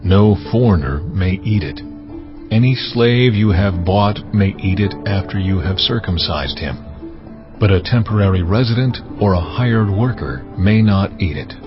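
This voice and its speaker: A solemn male deity in an audio scripture drama. The voice is low, deliberate and commanding, issuing laws with gravity. The sound is slightly dull, with music clearly playing underneath. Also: animated.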